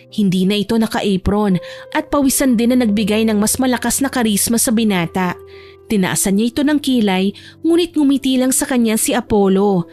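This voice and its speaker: A woman narrates a scripted romance story. Her voice sits fairly high, and music plays behind the narration. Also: a little mellow. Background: background music.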